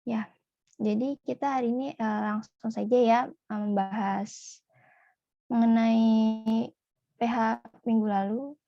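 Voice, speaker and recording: A woman's voice: a little high, fairly even intonation, a little slow, pauses often, no room sound. A woman teaching a German class online, switching between German and Indonesian as she prompts her students with questions. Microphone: video call. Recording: dull lo-fi video call audio.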